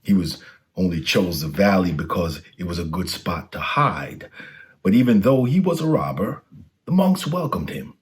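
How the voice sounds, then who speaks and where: A man's voice: low voice, sing-song, moderate pace. A man reading a children's storybook aloud as a scripted narration.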